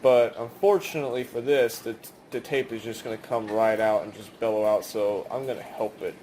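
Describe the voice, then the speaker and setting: A man's voice: lowish voice, fairly even intonation, normal speed, a little mellow, slight background noise. A man giving a casual, rambling hands-on demonstration in a conversational way. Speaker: video maker demonstrating cassette tapes.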